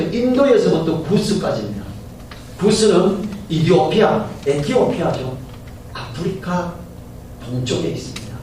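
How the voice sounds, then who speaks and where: A man's voice: on the high side, darkish tone. A middle-aged man preaching a Sunday sermon as senior pastor, talking in a conversational way and putting questions to the congregation. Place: church sanctuary. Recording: very echoey church hall from far off, faint hiss.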